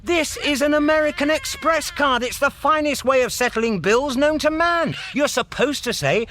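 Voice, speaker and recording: Glib, high-voiced chancer. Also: male voice, lively intonation, normal speed, pushed and bright, exaggerated voice. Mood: indignant, glib. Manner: glib, argumentative, cajoling. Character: brash, glib male space traveller bluffing his way. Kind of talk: radio comedy drama acting.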